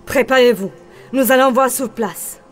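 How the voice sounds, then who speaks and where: A woman's voice: a little high, a little slow, slight background noise, lightly acted. A woman playing a commanding, no-nonsense military sergeant in an audio drama. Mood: resolute, commanding.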